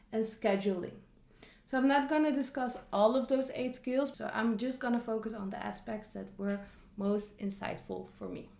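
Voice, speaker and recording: A woman's voice: a little high, gravelly, darkish tone. A young woman, chatting casually on camera about a book she read and adding many asides. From Netherlands. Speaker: vlogger. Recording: echoey room.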